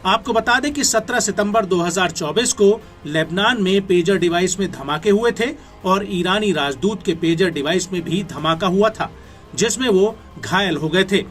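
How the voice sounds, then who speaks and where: Indian guy doing scripted news voiceover, pretty high voice, emphatic, bit of music behind. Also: moderate pace, a bit bright. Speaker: news narrator. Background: background music.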